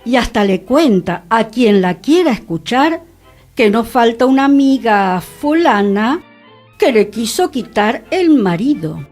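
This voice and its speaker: Woman reading a story out loud, real slow and deliberate, bit of music behind. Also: fairly high pitch, expressive. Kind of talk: literary reading, narration.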